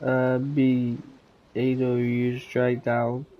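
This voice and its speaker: Very low-voiced woman gaming. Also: completely flat delivery, very slow, narrow, tinny audio, a little hiss or hum. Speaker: gamer. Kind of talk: casual game playthrough commentary. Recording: game music behind the voice.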